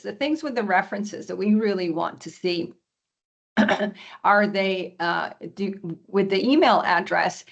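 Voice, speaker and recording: A hoarse woman giving a webinar presentation over a video call, often clearing her throat and using many fillers. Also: medium pitch, highs cut off. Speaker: export presenter.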